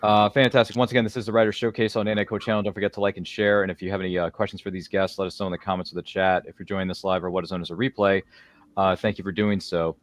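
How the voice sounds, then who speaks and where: Man, casual show host, rapid delivery, dry close sound, chatty, digressive. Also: deep voice, level tone, no room sound. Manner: chatty, jokey, digressive, slangy. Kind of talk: casual roundtable hosting, reading viewer comments.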